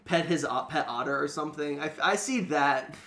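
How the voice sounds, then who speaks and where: A man's voice: a little high, normal speed, gravelly, bright, forceful tone. A young man hosting a podcast with casual, jokey banter and mock-boastful humor. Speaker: podcast host.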